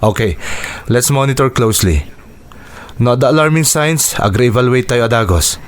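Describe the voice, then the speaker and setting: A man's voice: medium pitch, expressive, moderate pace, close to an everyday voice. A man with a Filipino accent acting as an earnest, businesslike hospital doctor in a radio drama. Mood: brisk, professional. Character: Doc Angelo, earnest male hospital doctor, businesslike, modest.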